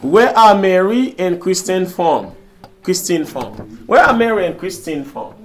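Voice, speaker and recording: A man's voice: high-pitched, animated, a little slow. A man from Haiti with a Haitian Creole accent, a native Creole speaker, teaching a language class and repeating phrases and questions to prompt the students. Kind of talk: interactive classroom lesson.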